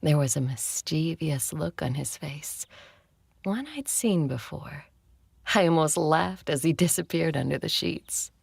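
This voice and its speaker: An actress playing an ancient female vampire conqueror in an audio drama, speaking in a hushed, husky, creaky voice with a dry, tender, teasing manner. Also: sits low, measured pace, heavily acted. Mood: intimate narration. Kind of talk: intimate audio drama acting and first-person narration.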